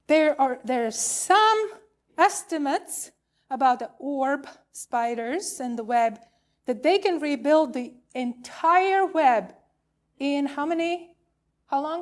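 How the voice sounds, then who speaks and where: A woman preaching a sermon as a minister, telling stories and posing questions in deliberate, emphatic phrases. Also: a little high, a little mellow. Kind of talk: sermon with storytelling and rhetorical questions.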